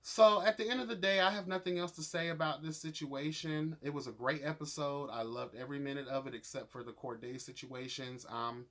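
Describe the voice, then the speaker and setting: A man with a voice pitched a little higher than most delivers a casual review as a vlogger. He is sassy and opinionated, leaning hard on his points. His wording is slangy and he swears freely. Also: fairly bright tone. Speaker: vlogger reviewing reality show episodes.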